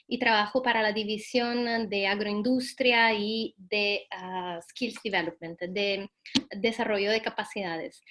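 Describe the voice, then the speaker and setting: A woman with an Italian accent presents in a webinar over a video call. Her voice sits fairly high, and her talk is hesitant and full of fillers as she works in a language that is not her first. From Italy. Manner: hesitant, many fillers, apologetic. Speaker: guest speaker.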